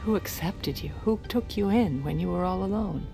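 Commanding, manipulative First Lady: poised, insistent, motherly, sharp-tongued, radio drama style over music. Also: lowish voice, moderate pace, creaky. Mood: firm, persuasive. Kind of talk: audio drama acting with first-person narration.

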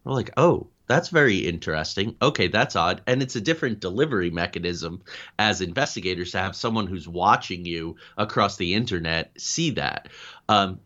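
Low-voiced man telling stories, dull recording. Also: expressive, normal speed, sharp tone. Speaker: guest, paranormal investigator. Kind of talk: conversational interview storytelling.

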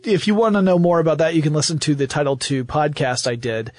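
High-voiced man, dry studio podcast sound. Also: expressive, fast, no echo. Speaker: podcast co-host. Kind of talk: conversational history podcast, telling anecdotes.

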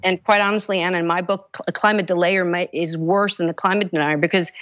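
A woman's voice: on the low side, fairly even intonation, fast talker, a bit bright, no room sound, highs cut off. A woman, a congressional candidate giving earnest, urgent answers with many restarts. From United States. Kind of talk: interview answers.

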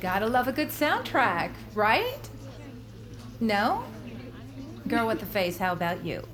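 A woman's voice: on the high side, big pitch swings, slow pace, over music. A woman playing a prim, easily offended classroom teacher in a scripted teen comedy. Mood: affronted.

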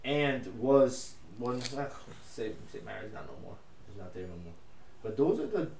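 A young man whose first language is Spanish, co-hosting a casual podcast chat in an animated, slangy way with lots of swearing. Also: medium pitch, moderate pace, creaky. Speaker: podcast co-host. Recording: echoey room with faint noise.

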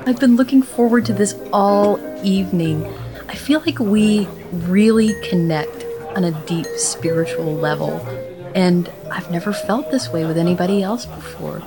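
A woman playing a sincere young girlfriend in a short comic audio drama, speaking softly. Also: mid-range voice, normal speed, music in the background, natural voice. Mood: tender. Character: earnest, soft-spoken young girlfriend.

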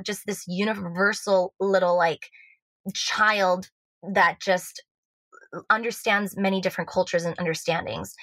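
A woman's voice: mid-range voice, a bit bright, dry recording. A woman from Switzerland with an American accent, a love coach guest talking earnestly in a casual podcast chat, full of fillers and restarts.

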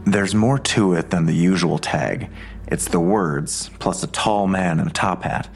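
Gravelly, low-voiced male detective. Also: unhurried, slight background noise. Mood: measured, wary. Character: terse, skeptical male police detective, a father. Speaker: actor in audio drama. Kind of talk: full-cast audio drama dialogue.

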